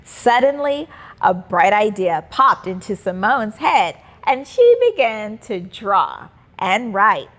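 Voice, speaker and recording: A woman reading a children's storybook aloud as an expressive, deliberate narrator. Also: medium pitch. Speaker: children's book reader and host.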